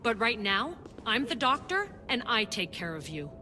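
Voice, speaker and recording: A firm, caring doctor with a bright voice. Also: female voice, on the high side, sing-song, moderate pace. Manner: caring but firm, professional. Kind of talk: game cutscene acting. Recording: long-reverb room with faint noise.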